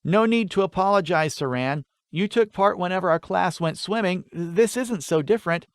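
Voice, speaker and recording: A man's voice: high-pitched, normal speed, clean tone, dry recording, studio quality, voice pushed up. A man voicing an earnest, awkward, lovestruck schoolboy with a hesitant, eager manner in a fantasy audiobook. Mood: reassuring.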